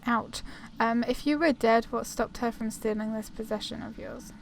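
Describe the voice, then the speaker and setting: A woman's voice: on the high side, fairly even intonation, normal speed, creaky, a little hiss or hum. A woman playing through a game, reading dialogue aloud and commenting in a playful, informal way. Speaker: gamer.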